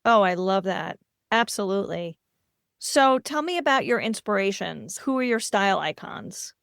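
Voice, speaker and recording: A woman's voice: mid-range voice, expressive, normal speed, vocal fry, fairly bright tone, clear, resonant tone, no echo, studio quality. A woman hosting a casual interview, affirming her guest and asking questions in a conversational way. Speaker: podcast host, interviewer. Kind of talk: casual supportive interview chat.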